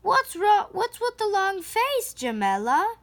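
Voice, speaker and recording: A woman voicing a kindly male fruit seller in a children's storybook, friendly and gently admonishing. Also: very high voice, huge pitch swings, takes it slowly, voice pushed up, heavily acted. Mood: concerned, advising.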